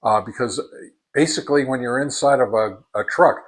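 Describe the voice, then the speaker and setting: A man giving a casual spoken product review in a halting, deliberate way, repeating words for emphasis as a hobbyist reviewer. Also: mid-range voice.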